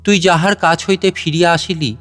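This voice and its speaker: A man's voice: a little high, steady tone, moderate pace, a bit bright, soft background music, pitched above the performer's own voice, lightly acted. A man as a sorrowful, tender old road. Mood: tender pity. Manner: pleading rhetorical questioning, solemn. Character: ancient road as a tender, sorrowful, pitying spirit.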